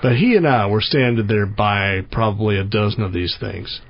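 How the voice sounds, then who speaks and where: A man's voice: lowish voice, moderate pace, dull audio. A man hosting an outdoor radio show, chatting with a guest in a folksy, plainspoken way. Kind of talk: radio interview.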